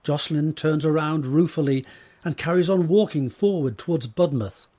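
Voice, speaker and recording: A man's voice: on the high side, normal speed, warm tone. A man narrating an audio drama from a script, describing the scene in the present tense.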